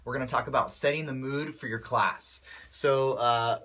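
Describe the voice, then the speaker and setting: A man's voice: medium pitch, moderate pace, bright, forceful tone. A man coaching other instructors in a casual, upbeat way, his voice a little off from a cold and often checking in with his listeners. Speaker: martial arts instructor. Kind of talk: casual instructional talk to camera.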